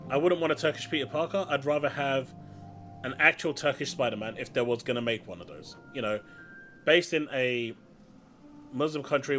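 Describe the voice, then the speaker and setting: A man's voice: mid-range voice, pushed and bright. A man with a London accent chatting casually and opinionatedly while streaming a video game. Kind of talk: casual chat while gaming. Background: game music.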